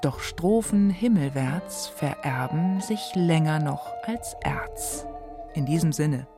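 A woman hosting radio with a scripted presentation, speaking pointedly with deliberate dramatic pauses. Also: sits low, lively intonation. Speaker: radio host. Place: radio studio. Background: music.

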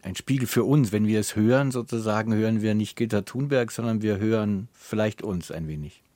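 A man hosts an interview in a fairly low voice. His phrasing is articulate and reflective, and the sound is dry, with very little room around it. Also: a little fast, dark tone, no room sound. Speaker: radio interviewer. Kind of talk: interview questions and moderation.

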